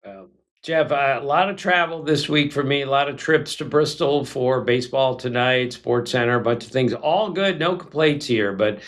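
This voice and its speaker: An older man co-hosting a casual chat show, telling stories with animation and slipping into quick impressions. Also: mid-range voice. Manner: animated storyteller, breaks into impressions. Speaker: podcast co-host.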